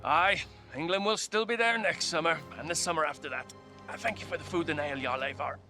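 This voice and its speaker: A man's voice: fairly high pitch, fairly quick, over music, natural voice. A man playing a pragmatic, courteous Viking guest in a video game, with dry delivery. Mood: unhurried, polite. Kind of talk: dramatic video game acting.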